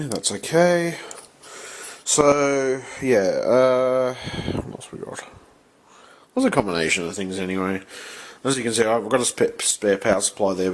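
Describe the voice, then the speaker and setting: A man with blocked sinuses, talking off camera at a home workbench in a rambling, halting way that breaks into swearing when he is frustrated. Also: lowish voice, slight background noise. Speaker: channel host. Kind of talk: casual vlog.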